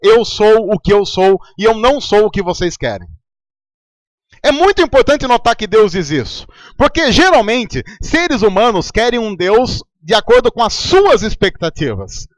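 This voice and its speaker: A Brazilian man preaching a sermon in a relaxed, conversational way, telling stories from his church. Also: high-pitched, normal speed, dry recording. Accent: Brazilian Portuguese. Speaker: pastor.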